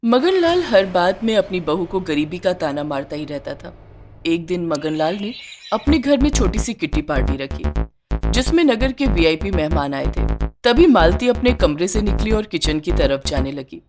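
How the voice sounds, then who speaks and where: A woman narrating a scripted cartoon moral story in an expressive storytelling manner. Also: on the low side, normal speed, music in the background.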